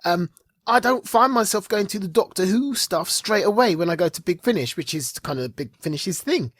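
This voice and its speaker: A podcast co-host chatting casually and emphatically about geek culture, with an animated, opinionated way of talking. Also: mid-range voice, normal speed, husky, no room sound, clean studio sound.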